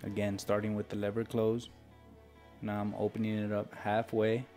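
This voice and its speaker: A man's voice: lowish voice, monotone, normal speed, a little mellow. An American man narrating an instructional tutorial in a casual, step-by-step way. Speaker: barber giving a tutorial. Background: background music with guitar.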